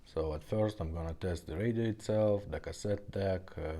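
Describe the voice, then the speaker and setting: A man giving a casual product demonstration as host, talking in plain, short, simple phrases with pauses. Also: sits low, dark tone. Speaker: host, car radio repair technician demonstrating a unit.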